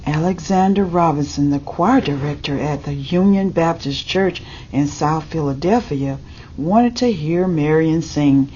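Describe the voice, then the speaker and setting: A woman reads a children's story in a deliberate, unhurried manner. Her voice sits low for a woman and takes its time. The recording is a little dull, with some noise behind her. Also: mellow. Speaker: storybook reader. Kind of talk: reading a children's picture book aloud. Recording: dull lo-fi audio with background noise.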